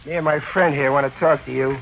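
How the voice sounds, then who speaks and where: A man's voice: fairly high pitch, steady tone, fast, vocal fry, a bit bright, poor audio. An actor playing a big, brutish but genial tough guy with blunt street slang in a 1940s radio drama. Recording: hissy old 1940s radio recording.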